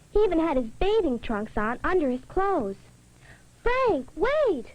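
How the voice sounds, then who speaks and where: Woman as a sensible schoolgirl narrator, fairly high voice. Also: darkish tone, full character voice, low-quality recording. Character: sensible schoolgirl recounting a summer lake trip. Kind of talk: scripted educational film narration.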